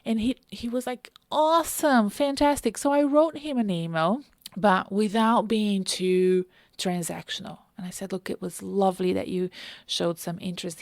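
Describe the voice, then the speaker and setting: A woman's voice: on the low side, gravelly, darkish tone, no room sound, clean studio sound. A woman hosting a podcast as a career coach, talking casually and conversationally in a self-aware, anecdotal way. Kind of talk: casual solo podcast talk.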